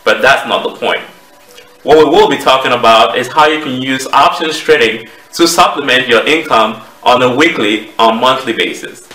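A man in his thirties with a Cameroonian accent, giving a measured, explanatory presentation to camera as a trading educator. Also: medium pitch, bright, forceful tone. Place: small home office, indoors. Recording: roomy echo, distant microphone.

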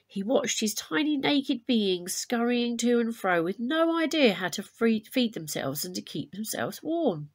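Woman reading aloud with very animated pitch. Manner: expressive, deliberate storytelling. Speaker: primary school teacher reading to pupils. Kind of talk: expressive storybook read-aloud with explanatory asides.

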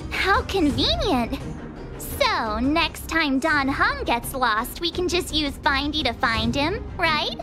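A woman's voice: high-pitched, very animated, slow pace, music in the background, exaggerated voice. An actress voicing a bubbly, curious teenage girl adventurer in an anime-style video game, perky and chatty. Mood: cheerful.

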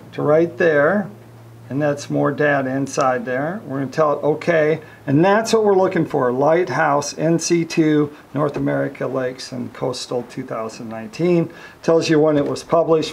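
Gravelly-voiced man demoing a chart plotter. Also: on the high side. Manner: relaxed, many fillers, talks through each step. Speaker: marine electronics reviewer. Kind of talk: casual product demonstration.

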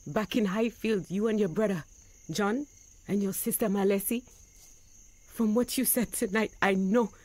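An actress playing a battered, pleading old mother, fervent and halting, in a radio drama. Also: mid-range voice, a little mellow, dry recording, natural voice. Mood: earnest. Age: older. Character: battered, pleading little old woman, long-lost mother. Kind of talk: emotional radio drama acting.

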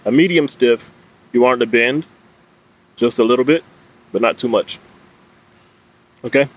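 A man's voice: mid-range voice, normal speed, rough recording. A man in his thirties giving an informal, plain-spoken and instructive product explanation to camera as a fishing vlogger. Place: outdoors under leafy trees. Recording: clear steady outdoor background noise.

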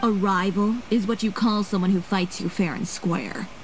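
A woman's voice: mid-range voice, fairly even intonation, normal speed, slight background noise, lightly acted, poor audio. A woman playing a proud, fiery young fashion designer in an anime game, curt and self-assured. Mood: indignant. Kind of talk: anime video game dialogue. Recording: game music behind.

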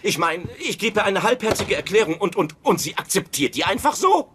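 A man's voice: high voice, sing-song, moderate pace, noisy background. A man playing an insecure, exasperated sitcom husband with a stammering, agitated delivery, as in a dub. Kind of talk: dubbed sitcom acting.

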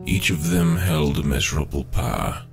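Very deep, gravelly, solemn fantasy narrator. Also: male voice. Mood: grave, portentous. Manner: grave, portentous, measured. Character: solemn ancient male storyteller of gods and creation. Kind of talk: epic fantasy audio drama narration. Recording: loud orchestral music behind.

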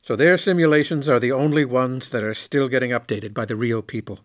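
A man playing a candid, reflective former station commander in a science fiction audio drama, speaking in a measured way. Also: medium pitch, steady tone, dry recording, clean studio sound, close to an everyday voice. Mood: explanatory, reflective.

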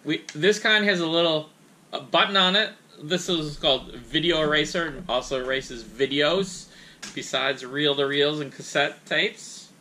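A middle-aged man giving a casual, folksy how-to talk at home, speaking haltingly into a camera a few feet away. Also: on the high side, sharp tone, a little hiss or hum. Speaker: host demonstrating audio gear. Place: room in a house.

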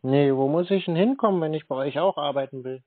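A man's voice: fairly high pitch, fast talker. A man answering in short, dry, skeptical remarks in a casual, confrontational phone chat. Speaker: scam-baiter on the call. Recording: thin, narrow-band phone sound.

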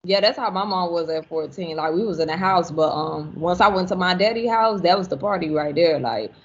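A woman in her twenties telling stories in a relaxed, rambling way, leaning on filler words. She talks over a webcam from home. The sound is dull, with faint noise behind her. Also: on the low side, fairly quick. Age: 20s. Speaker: podcast guest. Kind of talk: casual chat. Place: home room. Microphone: webcam on video call.

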